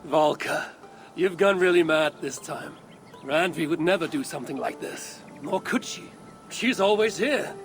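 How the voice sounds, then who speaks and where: A woman's voice: deep voice, lively intonation, a little slow, soft background music. A woman playing a steadfast Viking warrior leader in a video game, plain-spoken and skeptical. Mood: disbelieving.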